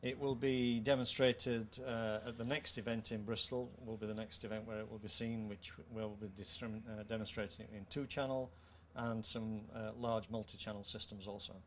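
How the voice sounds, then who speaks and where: A middle-aged British man presents technical details in a measured, formal way. His voice sits a little low. He speaks into a handheld mic with some room noise around him. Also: flat delivery. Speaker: company co-founder presenting a product. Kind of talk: technical product presentation in an interview. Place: indoor trade show room. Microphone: handheld microphone. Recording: clear background noise, show-room ambience.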